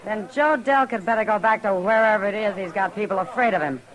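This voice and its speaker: An actress playing a tough but kindly, sharp-tongued saloon woman in a Western radio drama, firm and plain-spoken. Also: medium pitch, fast talker, lightly acted, rough recording. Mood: scornful.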